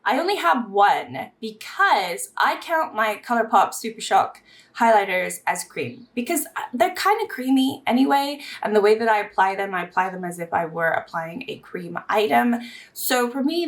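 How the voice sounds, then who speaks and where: A woman's voice: medium pitch, fairly bright tone. Chatty young woman beauty vlogger. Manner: chatty, thinks aloud, self-correcting. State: slightly hoarse. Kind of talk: casual chatty vlog.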